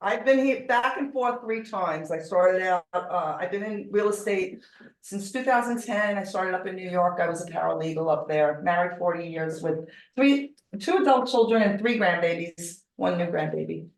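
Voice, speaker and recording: Blunt older woman, low voice, echoey room. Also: moderate pace. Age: older, 60s. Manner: blunt, emphatic, repeats points. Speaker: real estate agent. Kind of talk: casual self-introduction to a group. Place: indoor group meeting room. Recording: echoey room, distant microphone.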